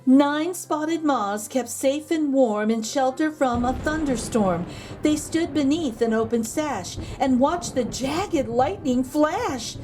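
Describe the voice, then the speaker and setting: A woman reading a children's picture book aloud as a storyteller, with measured, expressive rhyming delivery. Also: high-pitched, slight background noise.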